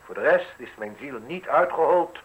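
An actor playing a self-assured, mocking man in his thirties in a radio drama, speaking deliberately with ironic, theatrical pauses. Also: fairly high pitch, animated, a bit bright, muffled recording. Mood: defiant, amused. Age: 30s. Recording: husky-sounding radio play recording.

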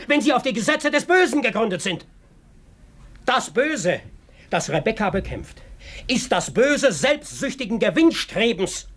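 A man's voice: high voice, a bit bright, full character voice. A man playing a fiery, righteous village preacher in a radio play, declaiming solemnly and emphatically. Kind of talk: German radio play acting.

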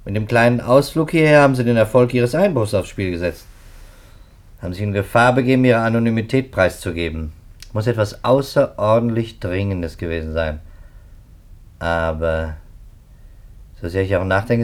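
An actor playing a skeptical, matter-of-fact male police detective in a 1950s radio drama, brisk and businesslike. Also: on the low side, close to an everyday voice. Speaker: police investigator.

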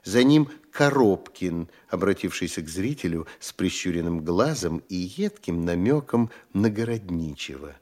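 A man narrating the stage directions of an audio play in a measured, declamatory way. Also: low-pitched, big pitch swings, darkish tone.

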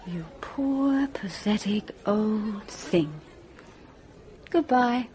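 An actress playing an outspoken young woman doctor with an English accent in a radio drama, forthright and expressive. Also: mid-range voice, drawn-out words. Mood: scornful, exasperated.

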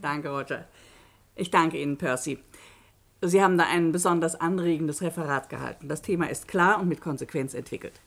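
Middle aged lady academic for a scifi radio drama, slightly low pitch, precise formal lecturing, serious and cautionary. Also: a little fast, gravelly. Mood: composed, concerned. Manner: articulate, formal, didactic. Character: middle-aged woman academic, measured, earnest, firmly cautionary seminar leader. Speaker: actor in a radio play. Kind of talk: German science-fiction radio drama acting, lecturing.

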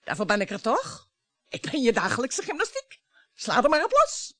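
A middle-aged mother in a radio drama, long-suffering yet defiant. Her fairly high voice swings expressively and sounds close and dry. She speaks pointedly, as if challenging her husband. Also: wildly swooping pitch, moderate pace, bright, forceful tone, no echo, full character voice. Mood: goading, bitter. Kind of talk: Dutch radio play acting.

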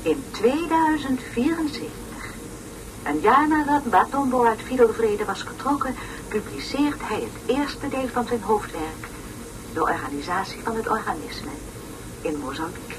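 A woman's voice: fairly high pitch, animated, normal speed, natural voice. An actress playing a pompous, long-winded woman lecturer on an educational tape in a radio play, speaking in solemn, over-articulated phrases. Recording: noisy, distant old radio play recording.

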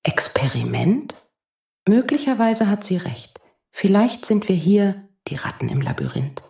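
A woman as a creaky, mocking space traveler. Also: medium pitch, very animated, a little slow, mellow. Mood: needling. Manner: mocking, breaks into whispery tones. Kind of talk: scripted audio drama acting. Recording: echoey room.

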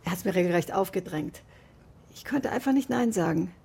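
An actress playing an inquisitive, composed amateur sleuth in a naturalistic radio drama, with a husky voice. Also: female voice, lowish voice, expressive, fairly quick, dark tone, heavily acted. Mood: polite, probing.

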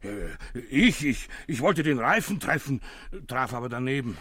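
An actor playing a flustered, dutiful gendarme, agitated and stammering, in a 1960s radio play. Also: male voice, mid-range voice, sing-song, normal speed, a bit bright, breathy edge, no echo, clean studio sound. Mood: sheepish, eager.

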